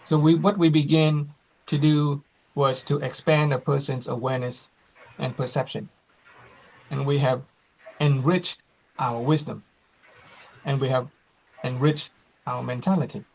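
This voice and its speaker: A man hosting an online class on a video call, slow and reflective, with frequent fillers. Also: mid-range voice, steady tone, gravelly, darkish tone, slight background noise, poor audio.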